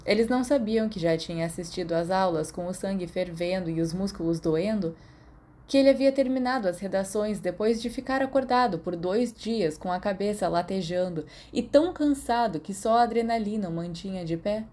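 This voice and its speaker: A woman's voice: mid-range voice, expressive, a little fast, dark tone. A woman with a Brazilian accent reading scripted fiction as an audiobook narrator.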